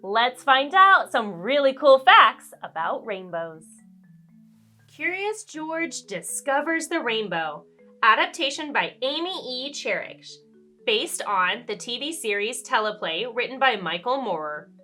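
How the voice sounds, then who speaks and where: A woman reads a storybook to young children with dramatic emphasis. Her voice is high and bright and she takes her time, over faint music. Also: big pitch swings, unhurried. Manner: dramatic emphasis, asks the listener questions. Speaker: children's read-aloud storyteller.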